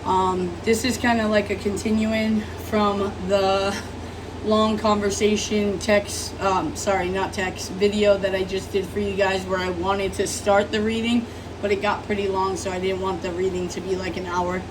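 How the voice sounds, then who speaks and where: Woman tarot host, hoarse from illness, loose and rambling, distant mic, audible background hum. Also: medium pitch, little pitch movement, normal speed. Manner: rambling, apologetic, many fillers. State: sick, rough smoker-like voice. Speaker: tarot reader. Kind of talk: casual tarot reading monologue.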